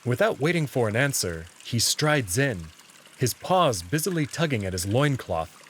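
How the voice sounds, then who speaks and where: A man's voice: mid-range voice, sing-song, normal speed, slight background noise. A man narrating a visual novel in the first person, reading it as a story.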